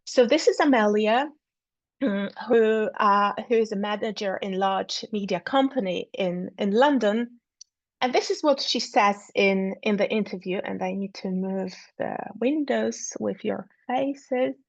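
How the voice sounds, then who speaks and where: Slow, hesitant woman giving an academic talk. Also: medium pitch, vocal fry, no echo. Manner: hesitant, many fillers and repeated words. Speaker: academic speaker. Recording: dull lo-fi sound.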